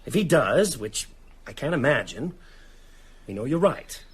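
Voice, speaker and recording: A level-headed writer in a radio drama. His voice is husky and moves widely in pitch as he takes charge in an earnest, decisive way. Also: very animated, a little slow, heavily acted. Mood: troubled, grim.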